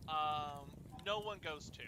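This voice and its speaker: A young man telling personal stories casually, in a halting way with repeated words and restarts. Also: a little high, background noise. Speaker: storyteller and host of a group chat.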